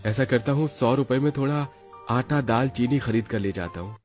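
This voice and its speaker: A man's voice: medium pitch, normal speed, darkish tone, faint music behind, close to an everyday voice. A man voicing a poor, worried labourer and father in a cartoon moral story, plaintive and earnest. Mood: anxious. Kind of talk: Hindi animated moral story acting.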